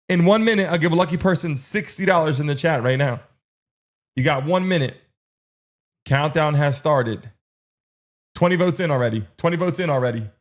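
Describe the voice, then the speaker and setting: High-pitched man hosting a livestream, insistent. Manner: urgent, insistent, repeating himself. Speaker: livestream host running chat polls. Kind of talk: hyping a live stream chat, repetitive countdowns.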